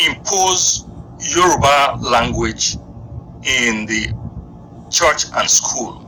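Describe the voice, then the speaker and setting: A Nigerian man lectures on history at an unhurried, measured pace. His voice is very bright and forceful, and he lays out each point deliberately. A faint hiss of noise sits under the recording. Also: mid-range voice, rough recording. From Edo, Nigeria. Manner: slow, deliberate lecturing with long pauses. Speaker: guest historian. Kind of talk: explaining history in an interview.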